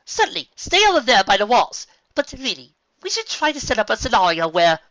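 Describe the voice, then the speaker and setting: A woman's voice: fairly high pitch, sing-song, fairly quick, a bit bright, no echo, pitched above the performer's own voice. A woman voicing a refined, fussy female unicorn with a posh, theatrical manner. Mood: panicked. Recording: dull lo-fi recording.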